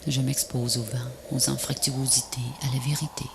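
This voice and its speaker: A woman reads a poem slowly in short, solemn phrases. Her voice is gravelly with fry and breathy, often close to a whisper. The old tape recording carries clear background noise. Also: very deep voice, little pitch movement. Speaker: poet narrator of a video poem. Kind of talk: poetic recitation, scripted voice-over. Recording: noisy VHS transfer, ambient noise.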